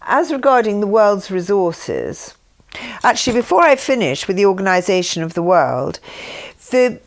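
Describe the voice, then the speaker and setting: A woman's voice: mid-range voice, very animated, normal speed. An older woman reading written messages aloud with informal asides, speaking in an earnest way. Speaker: reader and speaker.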